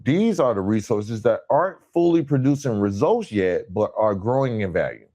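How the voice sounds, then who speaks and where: Low-voiced man giving a motivational monologue. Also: lively intonation, mellow. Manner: emphatic, deliberate, addressing the listener directly. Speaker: podcast host.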